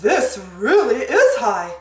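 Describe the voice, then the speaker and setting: A woman's voice: fairly high pitch, sing-song, slow pace, reverberant, distant mic. A woman voicing a kindly, nervous father pig in a storybook, with a hesitant, reassuring manner.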